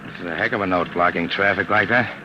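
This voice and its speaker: An actor playing a calm, matter-of-fact male armored truck driver in a 1950s radio drama. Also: deep voice, fast talker, highs cut off, noisy background, close to an everyday voice.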